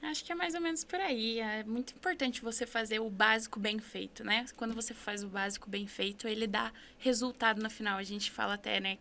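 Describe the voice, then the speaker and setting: A woman's voice: on the high side, gravelly, sharp tone, a little hiss or hum. A Brazilian woman, an English teacher, chatting as a podcast guest and giving upbeat, encouraging advice. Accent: Brazilian Portuguese. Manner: upbeat, encouraging, tag questions. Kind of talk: casual podcast conversation.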